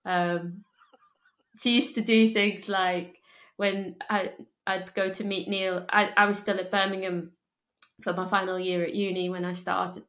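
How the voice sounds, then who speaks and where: Woman, 30s, interview guest; level mid voice, halting delivery, roomy echo on a home call. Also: mid-range voice, flat delivery, a bit bright. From Liverpool, England. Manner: halting, with frequent restarts and ums. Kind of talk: casual conversational storytelling. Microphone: earphone mic on a video call. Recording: echoey room.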